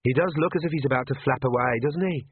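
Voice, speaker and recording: Guy doing a shy flustered young woman, mumbly and hesitant, lifted pitch, audiobook on a tinny lo-fi recording. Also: fast talker, husky, no echo, close to an everyday voice. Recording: lo-fi, narrow phone-like sound.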